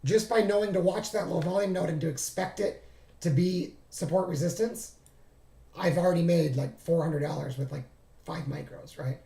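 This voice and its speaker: A man hosting a trading walkthrough who talks casually and thinks aloud, halting and correcting himself, and is losing his voice. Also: fairly high pitch, gravelly, warm tone, echoey room. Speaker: trading streamer. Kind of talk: casual screen-share walkthrough.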